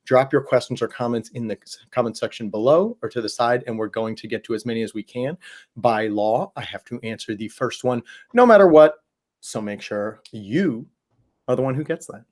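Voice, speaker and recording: A man hosting a casual livestream Q&A, a bit hoarse, talking in a chatty, rambling way with many fillers. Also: mid-range voice, moderate pace, a little mellow. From Southwest Ohio. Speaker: host.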